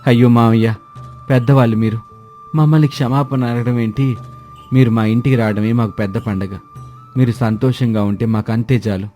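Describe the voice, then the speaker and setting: A man's voice: mid-range voice, steady tone, normal speed, mellow, soft background music, natural voice. A man playing a poor young village husband in a moral story, speaking deferentially and earnestly. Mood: respectful, warm.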